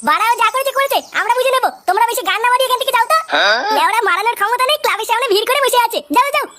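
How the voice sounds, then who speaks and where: A tiny, extremely high-pitched cartoon village lad, cheeky and foul-mouthed. The pitched-up voice rattles along in indignant slang over clearly noisy audio. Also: racing, pushed and bright. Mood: defiant. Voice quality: squeaky, artificially pitched-up voice. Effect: pitch-shifted up. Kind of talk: exaggerated cartoon dialogue.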